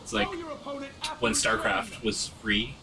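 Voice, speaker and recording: A man's voice: lowish voice, fairly even intonation, slow pace. A man in casual chat, an agreeable and curious listener commenting as he watches. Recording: off-mic, chatter and game sound behind.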